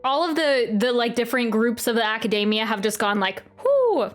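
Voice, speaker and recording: Female game streamer, higher-pitched, informal and playful reactions with faint game music underneath. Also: big pitch swings, moderate pace. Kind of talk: casual live gameplay commentary.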